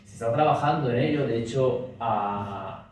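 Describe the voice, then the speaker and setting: A man speaking at a political meeting, pushing his points rapidly and looping back with restatements, recorded in a meeting room. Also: mid-range voice, a little mellow. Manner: rapid, talks in restarts with frequent "es decir". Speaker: speaker at a party talk. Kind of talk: public talk and Q&A. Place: meeting room at a party office. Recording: roomy echo, distant mic, faint hiss.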